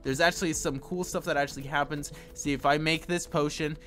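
Slightly raspy man hosting a gaming tutorial. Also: on the high side, fairly even intonation, fairly quick, fairly bright tone. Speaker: gaming video host. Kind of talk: casual gameplay tutorial commentary. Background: game music.

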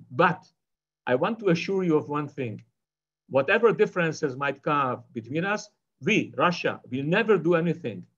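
An older man delivers an online lecture over a webcam from a bookshelf-lined study. His voice sits a little high for a man, and he wanders through his points with frequent fillers and restarts. The call audio sounds somewhat muffled and lo-fi. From Israel. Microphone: video call webcam. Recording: dull lo-fi video call audio.

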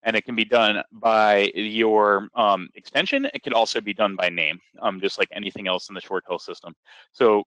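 A man working as a software trainer, explaining a screen step by step in an informal, instructional way for a webinar. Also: lowish voice, fairly even intonation, moderate pace, no echo, rough recording.

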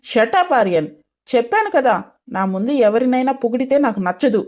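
A woman's voice: on the high side, animated, normal speed, darkish tone, lightly acted. A woman playing a spoiled, jealous and hot-tempered young fiancée in a dramatised story, petulant and indignant. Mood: petulant, angry.

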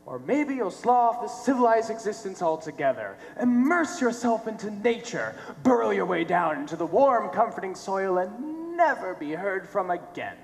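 A man's voice: high voice, big pitch swings, moderate pace, vocal fry, hardly any pauses, full character voice. A young man on stage playing a sardonic, theatrical tormentor who taunts cruelly. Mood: taunting. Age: young adult. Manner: theatrical, mocking. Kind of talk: high school stage play acting. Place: theater stage, filmed from the audience. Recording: echoey theater stage, noisy hum.

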